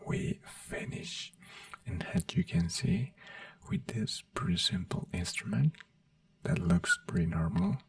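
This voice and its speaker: Non-native english asmr doctor roleplay, slow breathy whispering, a bit distant from the mic, gentle and reassuring. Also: low voice, wildly swooping pitch. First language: not English. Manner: gentle, reassuring personal attention. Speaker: ASMR performer playing a brain doctor.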